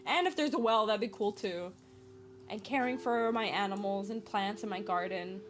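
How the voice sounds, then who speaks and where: A woman's voice: medium pitch, moderate pace, background noise. A woman in her twenties hosting a podcast, talking alone in a rambling, playful way and laughing often. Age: 20s. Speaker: podcast host. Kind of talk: casual solo podcast monologue.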